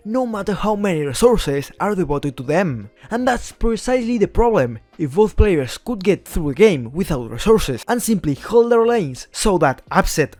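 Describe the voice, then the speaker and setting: A man's voice: sits high, a little mellow, dry recording. A young man from Spain with a Spanish accent, giving blunt, opinionated scripted commentary on esports teams as a YouTuber. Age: young adult.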